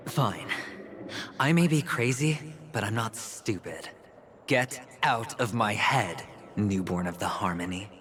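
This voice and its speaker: An actor playing a self-assured young male gambler in a game cutscene, speaking in a wry, measured way. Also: fairly low pitch, animated, in a large hall, background noise. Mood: rattled.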